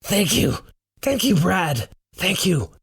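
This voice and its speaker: A man voicing a frightened, cowardly Norwegian man who shouts urgently in a storybook narration. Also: high-pitched, very animated, takes it slowly, vocal fry, breathy edge, voice pushed up, heavily acted. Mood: breathless relief. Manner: panicky, urgent exclamations.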